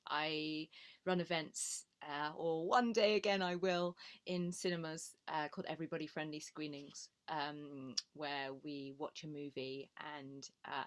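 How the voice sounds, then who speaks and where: Female panelist, slightly low voice, informal, earnest, hesitant and reflective on a video call. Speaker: panelist, clown and laughter yoga facilitator. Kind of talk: informal self-introduction at a panel discussion.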